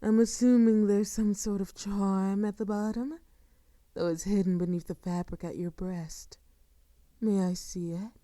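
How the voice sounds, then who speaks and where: A woman playing a sadistic, seductive vampire in an intimate audio roleplay, speaking softly and formally with a silky, teasing menace that often sinks toward a whisper. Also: medium pitch, steady tone, measured pace, no echo, studio quality, lightly acted. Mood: curious, coy. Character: sadistic, seductive female vampire, courtly and predatory. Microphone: close microphone, dry.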